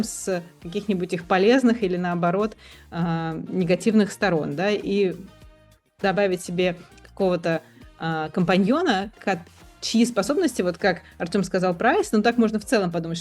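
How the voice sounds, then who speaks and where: A woman's voice: lowish voice, a little mellow. A woman co-hosting a casual discussion, tentatively thinking aloud and rephrasing as she goes. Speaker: podcast co-host.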